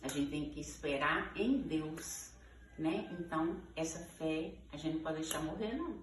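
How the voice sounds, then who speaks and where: A woman's voice: deep voice, moderate pace. A woman of sixty-five with a Minas Gerais Brazilian accent, answering informal interview questions conversationally, often checking in with little tag words. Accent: Brazilian Portuguese, Minas Gerais. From Ferros, Minas Gerais, Brazil. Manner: conversational, frequent checking tags like "né" and "sabe". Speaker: interviewee, teacher. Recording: echoey room, distant mic, background noise.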